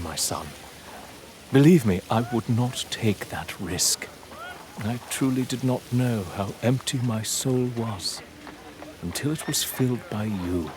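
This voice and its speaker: An actor playing a proud, headstrong lord in a period audio drama, speaking in a commanding, formal, theatrical manner. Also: male voice, medium pitch, background noise. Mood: solemn, tender. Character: proud, headstrong English lord leading a stag hunt.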